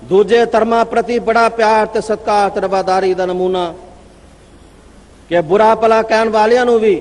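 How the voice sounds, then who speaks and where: A man with a Punjabi accent giving a formal religious speech at a convention, declaiming in measured phrases and pausing to read out citations. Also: high voice, in a large hall. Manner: declaiming with long pauses, reading out citations. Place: convention gathering.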